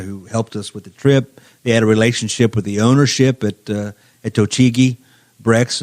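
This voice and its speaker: Podcast guest, Indiana man, measured and steady, lower voice, dry close sound. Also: dry recording. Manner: measured, storytelling, many "you know" fillers. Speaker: guest, economic development executive. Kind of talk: casual podcast interview.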